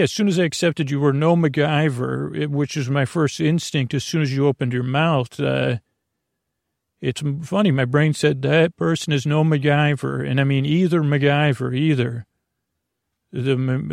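A man with a creaky, gravelly voice hosting a bedtime podcast, rambling in a halting, meandering way and drifting off into tangents. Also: medium pitch, a little mellow, no room sound, clean studio sound. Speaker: podcast host.

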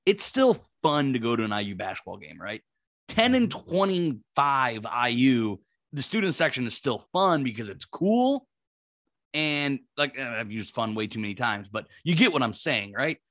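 Animated, rambling man on a podcast. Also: no echo, clean studio sound. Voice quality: deep voice. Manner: rambling, animated, lots of fillers. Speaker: podcast co-host. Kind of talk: casual sports chat.

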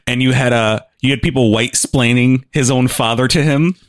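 A man's voice: mid-range voice, moderate pace, no room sound, clean studio sound. A man co-hosting a casual podcast chat, talking loosely and laughing often as he restarts his sentences. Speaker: podcast co-host.

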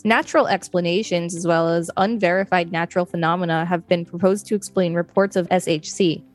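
A woman's voice: on the low side, fairly even intonation, brisk, faint music behind. A woman co-hosting a podcast, chatting casually and reading an article aloud. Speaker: podcast co-host.

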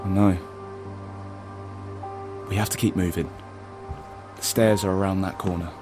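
An actor playing an earnest, protective and slightly nerdy rebel in an audio drama, explaining things insistently. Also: male voice, deep voice, steady tone, measured pace. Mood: grave, insistent. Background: music.

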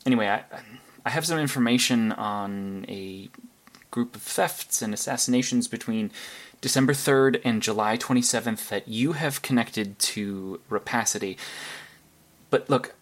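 An earnest actor's take on a male superhero detective from an audio drama. He speaks at a middle pitch in a halting, analytical manner, with nervous conviction. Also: natural voice. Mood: nervous, insistent. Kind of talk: naturalistic audio drama monologue and phone calls.

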